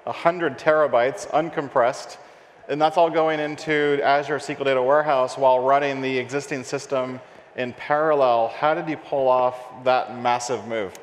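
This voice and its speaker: A man of middle pitch hosts an onstage tech interview and sums up his guest's answers in an upbeat, affirming way. He uses a headset mic on a big conference stage, and the sound has a long hall reverb and faint ambient noise. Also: steady tone, moderate pace. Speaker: host. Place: large conference stage before an audience.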